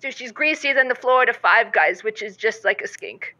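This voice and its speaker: A woman's voice: fairly high pitch, lively intonation, fairly quick, bright, forceful tone. A woman playing a careless, blunt mother calling in to a radio advice show in an audio comedy. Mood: exasperated. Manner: breezy, blunt, oblivious. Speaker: caller. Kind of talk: scripted audio comedy acting. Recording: dull lo-fi call-in sound.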